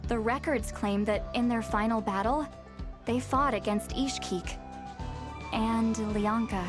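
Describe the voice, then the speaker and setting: An actress voicing a mischievous sorceress who calls herself old Granny, speaking in a dry, teasing, theatrical way. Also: female voice, fairly high pitch, slow pace. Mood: wry. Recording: game soundtrack music behind.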